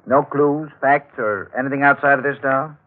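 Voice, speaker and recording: An actor playing a gruff, sardonic, hard-boiled newspaperman in clipped 1940s radio drama style. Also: medium pitch, fairly even intonation, moderate pace, narrow, tinny audio, lightly acted. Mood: skeptical, brusque. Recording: band-limited old radio, faint music behind.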